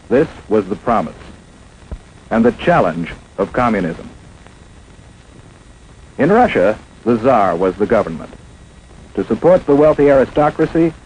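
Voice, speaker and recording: A man's voice: medium pitch, darkish tone, muffled recording, a little hiss or hum. An American man narrating a 1950s instructional film in an emphatic, dramatic, scripted manner, on an old film soundtrack. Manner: emphatic, dramatic, rhetorical questioning.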